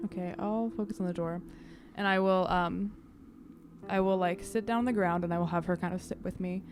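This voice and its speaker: A woman playing a tabletop roleplaying game, describing her character's actions in a halting, detailed way. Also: on the low side, steady tone, noisy background. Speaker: player. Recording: prominent music behind the voice.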